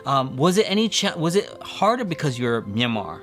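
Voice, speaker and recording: A man's voice: mid-range voice, moderate pace. A young man who grew up in the United States, hosting a podcast interview and talking straight to his listeners with energy. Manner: energetic, addresses listeners directly. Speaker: podcast host.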